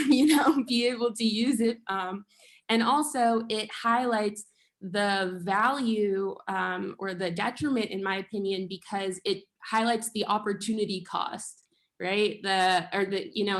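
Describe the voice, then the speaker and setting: An American woman from the audience asking a question in an academic discussion, hesitant, with many ums, and trailing off softly. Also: medium pitch. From United States.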